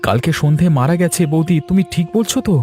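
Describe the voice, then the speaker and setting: A man voicing an excitable village husband and devoted nephew in a moral cartoon. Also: a little high, fast, faint music behind, lightly acted. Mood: shocked. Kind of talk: Bengali moral cartoon dialogue.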